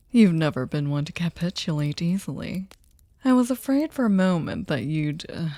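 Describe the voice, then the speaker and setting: A woman voicing a sadistic, possessive vampire hostess in an ASMR roleplay, silky, courtly and teasing, often slipping into breathy whispers. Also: fairly low pitch, a little mellow, dry recording, studio quality. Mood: smug, velvety. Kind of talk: scripted ASMR roleplay, intimate dramatic monologue.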